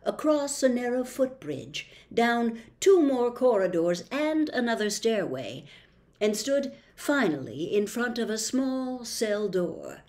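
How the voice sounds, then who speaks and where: Slow, expressive woman reading a storybook. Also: on the high side. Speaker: audiobook reader, host. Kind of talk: storybook narration.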